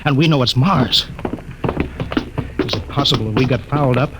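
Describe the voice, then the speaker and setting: An actor playing a stern, logical spaceship captain who gives clipped commands, in a 1950s radio drama. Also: male voice, medium pitch, moderate pace, natural voice. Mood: reasoning. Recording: old radio broadcast with faint hiss.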